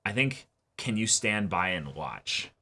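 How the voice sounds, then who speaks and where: A man with a lowish but bright, forceful voice chats casually as a reaction show co-host. He is excitable and halting, circling back on his words and swearing freely. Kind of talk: casual episode review chat.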